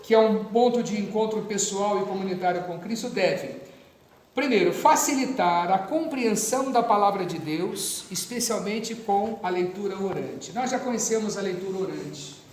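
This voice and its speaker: A Brazilian priest with a São Paulo accent teaches catechists in an animated, chatty way, full of asides and jokes. He stands well back from the mic, and his voice rings through a very echoey parish hall. A faint hiss sits under it. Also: sits high, moderate pace, recorded from a distance. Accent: Brazilian Portuguese, São Paulo. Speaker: priest giving catechist training.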